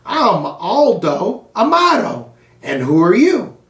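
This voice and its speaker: Jovial storybook cleaning man read by a male narrator, chatty, folksy and animated, in a roomy echo. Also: high-pitched, slow pace, exaggerated voice. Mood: booming, welcoming. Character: friendly, jovial male night janitor with a mustache. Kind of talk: children's storybook character voice. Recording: roomy echo, distant microphone.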